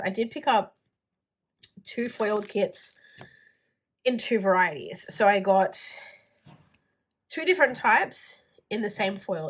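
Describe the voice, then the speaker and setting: A woman's voice: medium pitch, fairly bright tone. A woman with a stuffy nose and a nasal voice, chatting casually through a planner haul video while she handles her items. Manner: chatty, rambling with "like" fillers. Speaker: planner vlogger.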